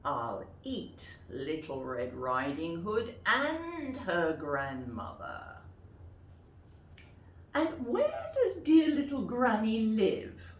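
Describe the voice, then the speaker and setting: A sly and greedy storybook wolf, put on by a woman reader. He relishes his words with big swings of pitch and an unhurried pace. The sound is roomy and a little distant. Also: wildly swooping pitch, exaggerated voice. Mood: scheming. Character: sly, greedy male wolf posing as granny. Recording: roomy, distant mic with faint noise.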